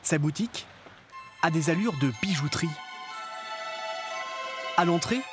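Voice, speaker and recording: A man's voice: on the high side, animated, unhurried, faint music behind. A man reading scripted reportage narration as the voice-over of a documentary.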